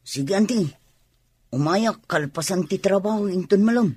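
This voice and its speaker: A woman's voice: medium pitch, lively intonation, normal speed, darkish tone, breathy edge, dry recording. A woman playing a reluctant, polite young niece in a radio drama, hesitant and deferential.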